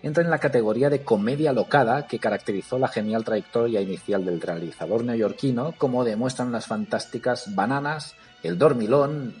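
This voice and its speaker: A man, hosting a podcast and recommending a film in a lively, ironic way. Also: medium pitch. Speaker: podcast host.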